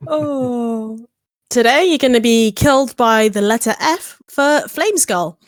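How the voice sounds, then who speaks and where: A woman's voice: a little high, lively intonation, moderate pace, no room sound, clean studio sound. A woman reading aloud as a podcast player at a tabletop game show's sign-off.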